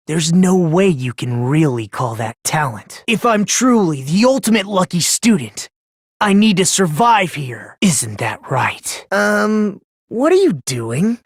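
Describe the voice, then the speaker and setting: A frail, unhinged teenage boy from a video game, with a breathy, husky voice in a dry recording. He is polite and self-deprecating, speaking with theatrical fervor about his obsession with hope. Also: medium pitch, no room sound. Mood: playful, whiny. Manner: polite, theatrical, swinging from gentle to fervent. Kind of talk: English video game voice acting.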